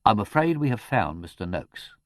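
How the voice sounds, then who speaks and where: A man voicing a deferential, unflappable English manservant in an audiobook, formal, grave and measured. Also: fairly low pitch, sing-song, no room sound.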